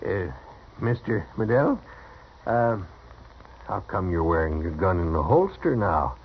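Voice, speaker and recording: A low but swooping rural American cowboy, talkative and boastful. He stammers and fawns like an excitable hanger-on in an old radio Western. The recording is dull. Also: measured pace, a little hiss or hum, full character voice. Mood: fawning. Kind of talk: 1950s Western radio drama acting.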